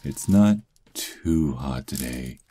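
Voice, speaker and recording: A man playing a doting, possessive vampire lover in an intimate ASMR roleplay, murmuring softly and coaxingly. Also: extremely low pitch, animated, slow, breathy edge, full character voice. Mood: playful, attentive.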